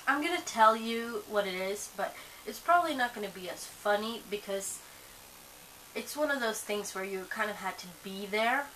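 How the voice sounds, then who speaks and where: A woman's voice: medium pitch, animated, moderate pace, bright, forceful tone, rough recording. A young woman whose first language is Swedish, chatting casually to camera, rambling with lots of fillers and restarts, a bit sleepy. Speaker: vlogger. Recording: faint hiss.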